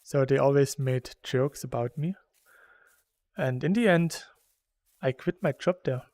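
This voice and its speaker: A man's voice: medium pitch, measured pace, darkish tone, no echo, clean studio sound. A man from Saxony, Germany, with a German accent, chatting casually and rambling while playing a game, laughing between thoughts. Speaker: gaming YouTuber.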